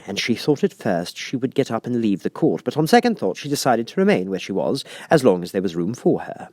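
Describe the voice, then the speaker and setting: A man reading an audiobook as an expressive storybook narrator. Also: fairly low pitch, no room sound. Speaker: audiobook reader.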